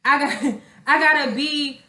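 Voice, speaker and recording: A woman's voice: high-pitched, steady tone, unhurried, pushed and bright. A young woman co-hosting a podcast, talking casually and candidly in an emphatic, slangy way with plenty of swearing. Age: young adult. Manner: emphatic, slangy, profanity-laced. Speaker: podcast co-host. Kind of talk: casual, candid relationship talk. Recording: echoey room.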